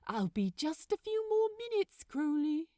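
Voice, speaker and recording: A woman's voice: high voice, big pitch swings, unhurried, dark tone, no room sound, clean studio sound, voice pushed up. A woman voicing a fussy, affectionate, genteel male angel in a storybook reading, with a coaxing manner. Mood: cheerful calling. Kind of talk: acted dialogue in audiobook reading.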